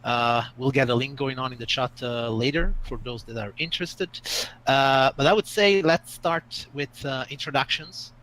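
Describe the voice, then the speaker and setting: A man's voice: mid-range voice, moderate pace, a bit bright, rough recording. Hesitant male game master. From Italy. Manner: hesitant, many filler sounds. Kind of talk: hosting a tabletop game stream.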